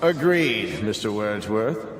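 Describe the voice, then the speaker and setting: An actor playing a cold, smug state chancellor in a radio drama, speaking in a formal, pompous, oratorical way. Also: male voice, lowish voice, very animated, slow, crowd noise. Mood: coolly official. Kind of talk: dramatic radio play acting. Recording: echoey reverberant hall, music underneath.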